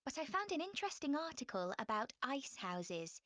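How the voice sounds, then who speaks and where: A young female student talks through a class project in a scripted exam-listening dialogue. Her voice sits a bit high and is recorded very dry. She is measured, chatty and politely frank. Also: sing-song, fairly bright tone, no room sound. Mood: informative, interested.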